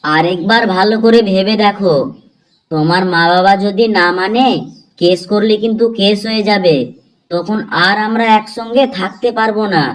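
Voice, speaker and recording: A man's voice: high voice, normal speed, fairly bright tone, a little hiss or hum, rough recording. A man playing a cautious, devoted young village man in love, speaking earnestly in a cartoon drama.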